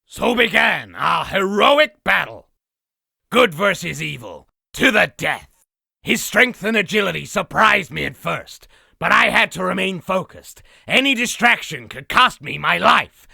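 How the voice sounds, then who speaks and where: A man voicing a boastful raspy demon, telling an exaggerated battle story theatrically for a comic dub. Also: high voice, sing-song, a little slow, bright, forceful tone, dry recording, studio quality, exaggerated voice. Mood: boastful, grandiose. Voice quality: raspy, gravelly. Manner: theatrical, self-aggrandizing. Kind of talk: comic dub character narration.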